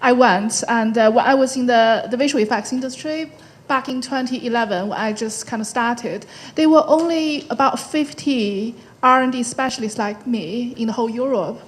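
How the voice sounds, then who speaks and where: A woman from China, answering spontaneously as a panel guest and speaking thoughtfully in short phrases with frequent pauses. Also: on the high side. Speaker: panel guest, tech founder.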